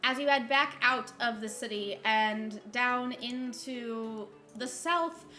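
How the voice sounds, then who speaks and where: High bright lady gm rambling thru the scene with lots of ums, bit of music under it. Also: takes it slowly. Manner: hesitant, lots of ums and restarts while describing. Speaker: game master. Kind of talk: narrating a tabletop game.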